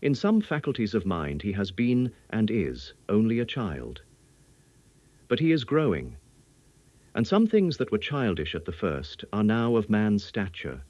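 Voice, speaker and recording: A man's voice: lowish voice, very animated, a little slow, a little mellow, no room sound, muffled recording. A man voicing a learned, earnest old professor in an audiobook, lecturing emphatically in quaint English. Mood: grave, emphatic. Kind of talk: audiobook character acting.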